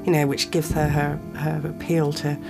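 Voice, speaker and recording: A woman's voice: sits low, level tone, normal speed, over music. A woman fan speaking fondly in a documentary interview.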